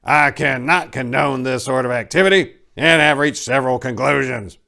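A man's voice: medium pitch, normal speed, creaky, pushed and bright, pitched above the performer's own voice. A man voicing a stern, bossy Santa Claus who makes pompous pronouncements in a storybook reading. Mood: indignant, commanding. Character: commanding, indignant, bossy male Santa Claus.

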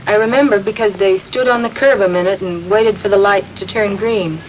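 A woman's voice: mid-range voice, a little fast, natural voice. A young woman playing a shaken, earnest cashier giving a witness account in 1950s drama style, somewhat hesitant. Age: young adult. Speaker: eyewitness. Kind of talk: 1950s television drama acting. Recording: dull, faintly noisy 1950s film soundtrack.

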